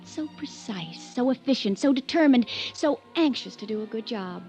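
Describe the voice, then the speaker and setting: A woman's voice: fairly high pitch, animated, moderate pace, warm tone. A woman playing a spiteful, wounded young daughter in a 1950s radio drama, arch, sarcastic and theatrical. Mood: sarcastic, bitter. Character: bitter, mocking young rich rancher's daughter, spiteful and wounded. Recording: dull vintage radio recording with music.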